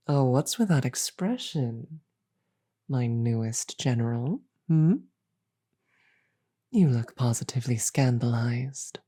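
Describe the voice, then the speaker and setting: A regal demon queen played by a woman in an audio drama speaks very slowly and deliberately, with teasing, magnanimous command. Her voice is dark and husky, with an amused drawl, recorded close and dry. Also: a bass voice, no room sound, heavily acted. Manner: slow, deliberate, amused drawl with soft chuckles.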